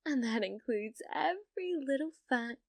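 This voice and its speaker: Girl voice actor doing clingy yandere girlfriend asmr, higher voice, really slow, sweet but kinda threatening, super dry close sound. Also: animated, creaky, no echo, exaggerated voice. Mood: adoring, obsessive. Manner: sugary, coaxing, with veiled menace. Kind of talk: ASMR roleplay, addressing the listener as a date.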